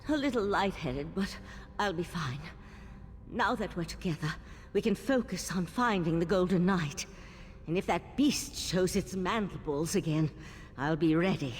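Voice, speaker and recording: An actress playing a resolute female adventurer companion in a video game, speaking in an earnest, measured, composed way. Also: close to an everyday voice. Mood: shaken, relieved, then determined. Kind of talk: scripted video game character dialogue. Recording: long cavernous reverb, rumbling background noise.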